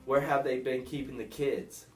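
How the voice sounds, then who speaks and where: A man voicing a brisk, questioning police lieutenant in a read-aloud crime story. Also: mid-range voice, fairly even intonation, distant mic, close to an everyday voice. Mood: brisk, firm. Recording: echoey room, off mic, guitar music behind.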